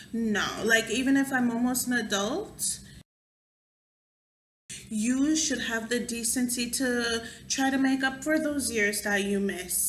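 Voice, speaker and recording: A young woman telling a personal story into her camera, slow and unhurried. Her voice is bright and fairly high, and she laughs often and acts out what people said. The room around her is echoey, with a faint hum behind. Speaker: vlogger. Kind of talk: storytime vlog.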